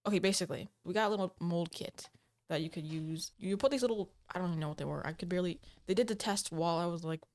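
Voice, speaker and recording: A woman's voice: fairly low pitch, quick pace, darkish tone. A woman talking casually to camera from home, rambling and hesitant with many fillers and restarts, her voice a little off from being sick. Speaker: gaming channel host. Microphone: desk microphone.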